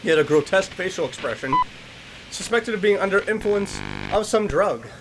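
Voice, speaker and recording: A man's voice: sits high, fairly quick, background noise. A man giving casual reaction commentary as he exercises, a little short of breath, reading things aloud and commenting on them. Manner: animated, reads on-screen text aloud then reacts; exercising on a bike while talking. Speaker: YouTuber reacting to horror videos.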